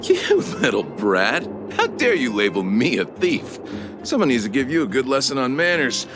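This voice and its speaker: A man's voice: mid-range voice, lively intonation, quick pace, over music, natural voice. An actor voicing a haughty, indignant male suspect in a video game, condescending and mocking. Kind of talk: theatrical video game dialogue.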